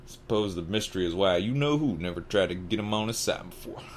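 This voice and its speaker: Kindly, anxious storybook giant, played by a man: low, folksy Southern drawl, halting delivery, some background noise. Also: lively intonation. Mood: solemn. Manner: halting, folksy, dropped consonants.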